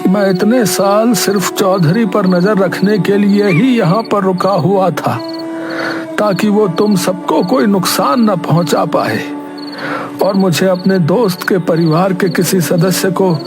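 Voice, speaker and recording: A man voicing a solemn, wise old man in a cartoon, with an earnest, drawn-out delivery. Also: high voice, music in the background. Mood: solemn advising. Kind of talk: dramatic Hindi cartoon dialogue.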